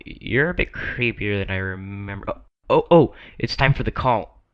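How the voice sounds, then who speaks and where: A man's voice: lowish voice, animated, moderate pace, clean tone, highs cut off, natural voice. A man playing a wry, uneasy night guard muttering to himself in a horror game cutscene.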